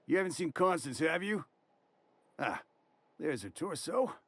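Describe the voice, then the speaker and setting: Folksy, gravelly game mercenary; drawling, wry. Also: male voice, a little high, very animated, measured pace, a bit bright, no echo, heavily acted. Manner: drawling, folksy, dry humor.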